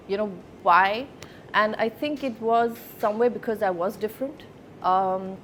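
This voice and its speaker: A woman's voice: fairly high pitch, a little hiss or hum. Thoughtful woman from Sweden. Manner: thoughtful, many pauses and fillers. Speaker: guest, film producer. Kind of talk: reflective personal interview.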